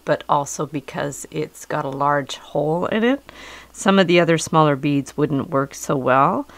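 Middle-aged woman with a cold, low gravelly voice, calmly walking you through a craft, dry close sound. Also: dry recording. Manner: calm, patient, explanatory. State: hoarse from a cold. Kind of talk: step-by-step craft instruction. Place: indoors at a work table.

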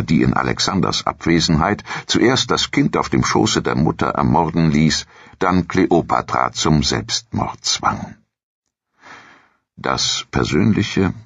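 A man narrating a scripted history documentary audiobook in a measured, formal reading manner. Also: a bass voice, gravelly, fairly bright tone, husky, no echo, lo-fi sound. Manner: measured, formal read delivery.

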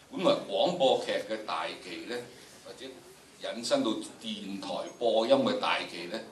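A man's voice: medium pitch, bright, forceful tone. An older man with a Hong Kong Cantonese accent teaches a drama workshop in a theatrical, emphatic lecturing style, addressing students directly. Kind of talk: lecture with dramatic recitation demonstrations. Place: large lecture room, indoors. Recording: echoey lecture hall, distant, noisy.

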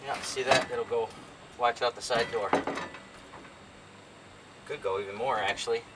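A man's voice: mid-range voice, steady tone, unhurried. An older American man chatting casually in a folksy, rambling way as he talks to himself while doing a hands-on job. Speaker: DIY vlogger. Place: inside open cargo van beside a garage, outdoors. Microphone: camera a few feet away. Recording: distant camera mic, loud noise, clicks.

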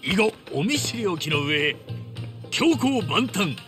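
An actor playing a brash, earnest ex-yakuza hero in a Japanese game dub, speaking with showy flourish. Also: male voice, sits high, big pitch swings, takes it slowly, a bit bright, soft background music, full character voice. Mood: grandiose.

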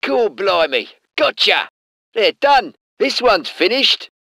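Cheeky British tanker, swinging pitch. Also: male voice, very animated, slow, creaky, sharp tone, dry recording, clean studio sound, heavily acted. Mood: complaining, brash. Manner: cheeky, slangy, clipped military phrases. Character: cheeky, slangy British tank crewman, salty soldier. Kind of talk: short scripted game unit responses.

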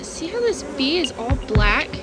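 High-voiced woman vlogging outdoors. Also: big pitch swings, measured pace, very noisy. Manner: informal, exclamatory, thinking aloud. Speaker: beekeeping vlogger. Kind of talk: casual chat while working. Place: outdoors in a bee yard.

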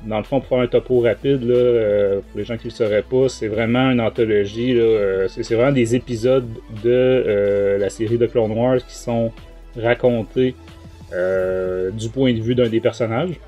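A middle-aged man with a Québécois French accent, hosting a casual podcast chat in hesitant, halting phrases. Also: medium pitch, fairly even intonation, dark tone, muffled recording, soft background music. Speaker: podcast host. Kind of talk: casual talk show chat.

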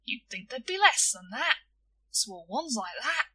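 Woman acting out a blunt young storybook boy, high-pitched, self-important, stiltedly polite, husky edge. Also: big pitch swings, normal speed, pushed and bright, heavily acted. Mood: disparaging.

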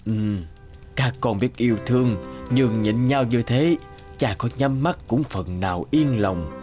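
An actor playing a gentle, frail old peasant father, speaking slowly and tenderly in an animated folk tale, with music behind him. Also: mid-range voice, lightly acted. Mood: tender, solemn. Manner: slow, measured, tender.

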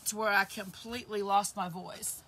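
A woman in her fifties with a hoarse voice, chatting to viewers as she works through a live craft project in her craft room. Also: mid-range voice, steady tone, moderate pace, pushed and bright, a little hiss or hum. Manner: chatty, greets viewers while working with her hands. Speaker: craft channel host. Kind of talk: live crafting stream chat. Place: indoor craft room with shelves. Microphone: camera facing her across the table.

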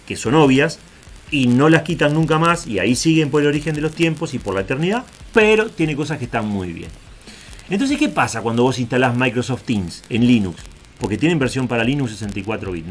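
Rioplatense male tech host, middle voice, casual and rambling, faint music bed. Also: mid-range voice. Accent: Rioplatense Spanish. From Argentina, raised in Uruguay. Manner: chatty, digressive, checks in with "¿tá?". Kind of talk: casual screen-share tutorial.

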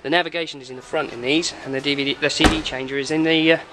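A man's voice: a little high, fairly even intonation, very fast, background noise. A man giving an informal, matter-of-fact product walkthrough as an installer, talking off the cuff. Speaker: car audio installer presenting a demo. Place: in and around a parked car.

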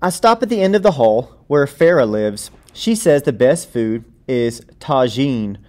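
A man reading a children's picture book aloud as a storyteller, in an expressive, playful way. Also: mid-range voice, measured pace.

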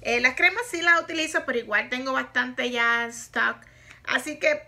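Puerto rican woman vlogging from her bedroom, bright highish voice, faint music behind. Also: moderate pace, gravelly. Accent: Puerto Rican Spanish. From Puerto Rico. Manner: chatty, frank, laughs often. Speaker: makeup vlogger. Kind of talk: casual product review. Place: bedroom, indoors, small.

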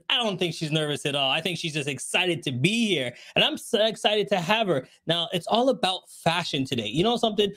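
A middle-aged man hosting an upbeat morning show with an enthusiastic, animated manner. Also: high-pitched. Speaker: talk show host. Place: small home studio, indoors. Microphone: desk microphone, close.

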